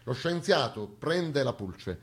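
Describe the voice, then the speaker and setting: A stage actor delivers a satirical monologue in a declamatory, mock-solemn manner. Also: male voice, mid-range voice, very animated, slow pace, breathy edge.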